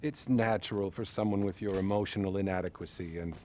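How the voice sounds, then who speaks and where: An actor playing a patronizing male therapist, measured and placating, in a naturalistic video game style. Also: deep voice, fairly even intonation, a little mellow, a little hiss or hum, natural voice. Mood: condescending.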